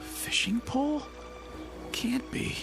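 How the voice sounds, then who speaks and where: An actor playing an astonished, disbelieving spaceship crewman in a radio drama, halting and repeating his phrases. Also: extremely high pitch, exaggerated voice. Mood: stunned, disbelieving. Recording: radio drama with music behind.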